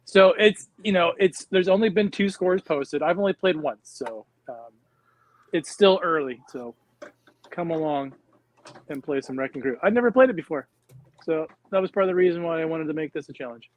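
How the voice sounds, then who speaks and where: A man's voice: fairly high pitch, moderate pace. A man co-hosting a casual live chat, rambling through explanations with many fillers. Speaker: regular co-host.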